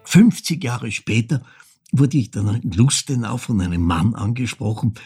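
An older actor with an Austrian accent playing a reflective ageing man who recounts his wartime childhood in a halting, hushed literary monologue. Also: mid-range voice, faint music behind, lightly acted. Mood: hushed remembering. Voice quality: gravelly, husky. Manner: halting, deliberate, hushed, broken phrasing. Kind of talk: literary monologue, dramatic storytelling.

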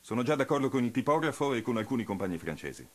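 An actor playing a brisk, impatient, domineering male political activist in a radio play, curt and commanding. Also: medium pitch, big pitch swings.

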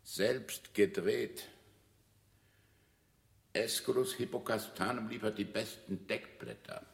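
A man playing an old, resigned bank director in an absurdist radio play, speaking tersely and formally in a gravelly voice. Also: on the low side, slow pace. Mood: wistful, terse. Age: older.

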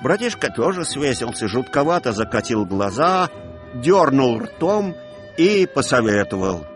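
A man narrating a literary radio play in an expressive storytelling manner, with music behind him. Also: mid-range voice, measured pace.

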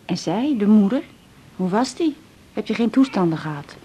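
A woman's voice: medium pitch, big pitch swings, moderate pace, mellow, slightly rough. An actress playing a doting, inquisitive grandmother in a radio drama, cooing and chatty. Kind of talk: 1980s radio drama acting.

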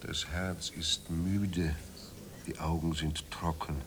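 A man's voice: low voice, level tone, takes it slowly, crowd noise. An actor in a radio drama playing a weary, ironic, hard-drinking male writer, theatrical and wryly courteous. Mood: weary, melancholic. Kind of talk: German radio drama acting.

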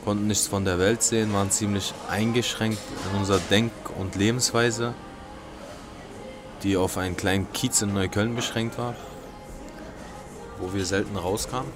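A man's voice: fairly low pitch, fairly even intonation, normal speed, long pauses, over music. A man in his late twenties from Berlin, speaking frankly and bluntly in a personal interview. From Berlin, Germany. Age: late 20s.

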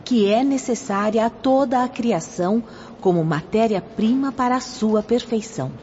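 An actress playing a reverent, serene spiritual apprentice, reciting earnestly in a radio drama. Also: female voice, a little high, normal speed, dark tone, slight background noise, natural voice. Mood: solemn. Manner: earnest, solemn. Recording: dull lo-fi audio, faint music.

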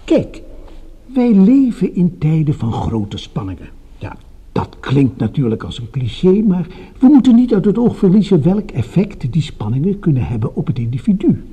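An actor playing a smooth-talking, patronising and quietly sinister male doctor in a Dutch radio drama, placating and measured, with a breathy voice. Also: a little high, very animated, heavily acted. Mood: soothing, patronising.

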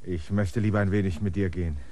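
Ein Schauspieler spielt in einem Hörspiel einen erschöpften, flehenden Landvermesser, der drängend und bittend spricht. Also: male voice, low-pitched, fairly even intonation, quick pace, warm tone, close to an everyday voice. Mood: pleading. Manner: pleading, clinging, insistent. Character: weary stranded male land surveyor, pleading and insistent. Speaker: land surveyor character. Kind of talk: radio play acting.

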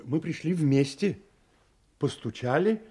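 An actor playing a grim, uneasy ship's mate in an audio drama, speaking in husky, hesitant, heavy broken phrases. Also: male voice, fairly high pitch, expressive, slow pace, dark tone, exaggerated voice. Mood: grim, halting.

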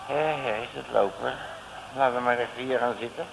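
An actor playing a cocky, slangy working-class detective in a 1950s Dutch radio comedy serial. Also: male voice, medium pitch, fairly even intonation, a little fast, gravelly, natural voice. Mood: self-important. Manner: slangy, blunt, self-assured. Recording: noisy old radio recording.

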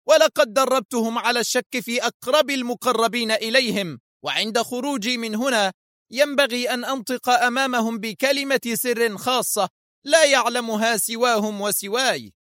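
A cruel, cunning spymaster in a storybook reading, his voice pitched well above the reader's own, as a man puts him on. He drags out his threats slowly and heavily, recorded close and dry with no room sound. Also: pushed and bright, dry recording. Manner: threatening, slow and emphatic. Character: vicious cunning male spymaster, menacing.

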